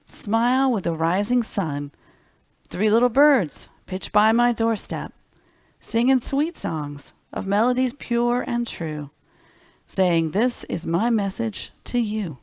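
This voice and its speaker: A woman reads picture books to young children as a library storytime host. Her pace is slow and her mid-pitched voice is expressive, with simple asides and questions to the listeners. The sound is dry, with very little room echo. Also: vocal fry.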